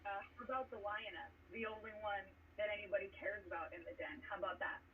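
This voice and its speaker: A woman's voice: medium pitch, fast talker. Condescending woman, dull distant recording. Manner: condescending, pointed. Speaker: team advisor on a show. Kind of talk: confrontational show banter. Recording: dull distant mic, faint noise.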